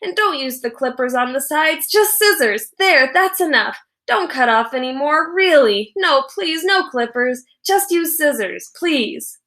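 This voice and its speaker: A woman's voice: sits high, lively intonation, a little slow, few pauses, pushed and bright, voice pushed up, heavily acted. A woman voicing an exasperated young boy in a children's storybook, emphatic and pleading in manner. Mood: urgent pleading. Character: exasperated boy dreading a bad haircut.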